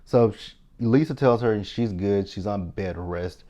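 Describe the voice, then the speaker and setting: Texan man, lower voice, sassy TV recap host, chatty and opinionated. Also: measured pace, warm tone, muffled recording. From Texas. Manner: chatty, sassy, opinionated, talking straight to viewers. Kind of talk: casual TV episode recap.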